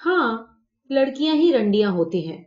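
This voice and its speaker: A woman's voice: a little high, very animated, darkish tone, highs cut off. A woman voicing an innocent, playful teenage girl with a frank, teasing manner in a story reading. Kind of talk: storybook character dialogue.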